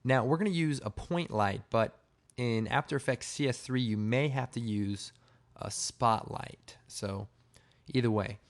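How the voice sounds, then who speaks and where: A man with a slightly hoarse, raspy voice giving a casual, informal software tutorial, explaining step by step. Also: medium pitch, a little slow. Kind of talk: casual screen-recorded tutorial narration.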